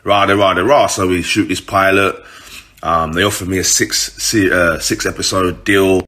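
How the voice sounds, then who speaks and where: A man's voice: sits low, steady tone, moderate pace, sharp tone. A man with a British urban accent telling a story casually to his followers, informal, slangy and sweary.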